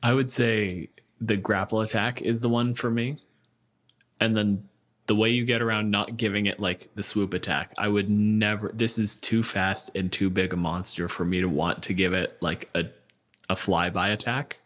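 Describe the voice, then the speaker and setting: A man's voice: on the low side, fairly even intonation, moderate pace, fairly bright tone. A man hosting a casual tabletop gaming podcast, talking in an explanatory, storytelling way. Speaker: podcast host.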